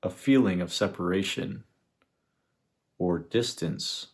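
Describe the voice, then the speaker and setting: A man leading a guided meditation, speaking in an unhurried, reflective way with long pauses between phrases. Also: low voice, a little mellow. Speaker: meditation guide, spiritual teacher. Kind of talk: guided meditation, contemplative teaching.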